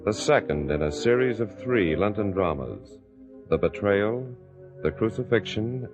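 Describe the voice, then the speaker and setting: A man with a very low voice narrates a radio drama with solemn weight. His delivery rises and falls expressively over clear background music, in a dull lo-fi recording. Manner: grave, dramatic, measured.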